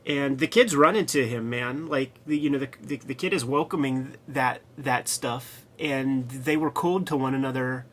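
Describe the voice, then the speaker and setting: A man co-hosting a comics show, chatting casually and excitedly in a slangy, profane, riffing way. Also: medium pitch, normal speed, hardly any pauses, a little hiss or hum. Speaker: co-host of a comics review show.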